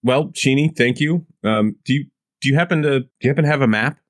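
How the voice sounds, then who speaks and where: A man's voice: mid-range voice, quick pace, no room sound, clean studio sound. A man playing a tabletop role-playing game, talking casually in character.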